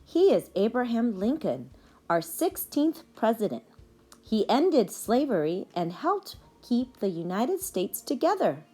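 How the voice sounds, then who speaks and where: A patient storybook aunt, voiced by a woman reader explains things to her young nieces. She speaks slowly and clearly stresses key words with a lifting pitch, in a slightly husky voice. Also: big pitch swings, mellow, heavily acted. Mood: patient, explaining. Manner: explanatory, measured.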